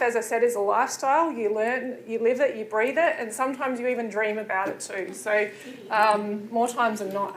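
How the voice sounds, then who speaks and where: Fairly high-voiced woman welcoming new students, lots of ums, echoey lecture room. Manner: chatty, many fillers. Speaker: midwifery lecturer.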